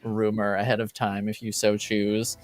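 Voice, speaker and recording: A man running a tabletop roleplaying game as game master, narrating in a halting way as he pieces scenes together. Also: on the low side, monotone.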